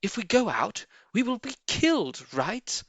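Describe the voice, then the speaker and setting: A man's voice: high-pitched, very animated, unhurried, fairly bright tone, no echo, dull audio, voice pushed up, full character voice. A man voicing a jovial, confident male fox in a storybook, making grand speeches to his friends. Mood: earnest, persuasive.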